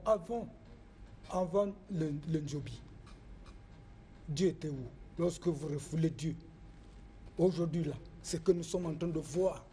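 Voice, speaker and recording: A man's voice: fairly high pitch, warm tone. A man, a traditional spiritual master, speaking earnestly in a discussion.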